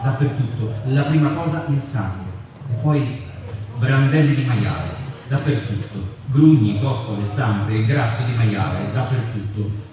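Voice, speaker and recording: A man giving a deliberate, dramatic literary reading of a first-person account, with short phrases and weighty pauses. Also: medium pitch, a little hiss or hum. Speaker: reader at a literary performance. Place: live festival performance in a large reverberant space. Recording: very echoey hall, distant, muffled, music over it. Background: loud music.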